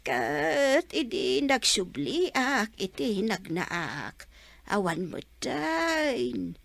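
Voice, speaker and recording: A woman as a very slow, creaky old Ilocano mother. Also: mid-range voice, very animated, fairly bright tone, no room sound, heavily acted. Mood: worried, plaintive. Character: frail, worried old village mother on a pension. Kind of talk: Filipino radio comedy drama acting.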